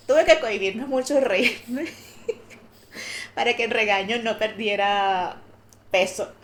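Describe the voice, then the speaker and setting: A woman co-hosting a podcast, telling personal stories candidly in a relaxed chatty way. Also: a little high, slight background noise. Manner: candid storytelling with light laughter. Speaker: podcast co-host. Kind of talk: casual conversational podcast chat.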